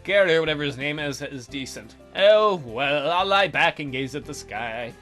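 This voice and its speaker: Animated, bright-voiced woman game host. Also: low voice, moderate pace, soft background music. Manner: playful, animated, jokey. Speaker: let's play host. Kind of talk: visual novel read-aloud with joking commentary.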